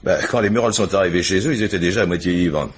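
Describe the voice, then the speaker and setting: A man's voice: sits low, very fast, a little hiss or hum, close to an everyday voice. An actor playing a businesslike police inspector who reports to his boss in colloquial French, in a 1950s-style radio mystery. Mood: matter-of-fact.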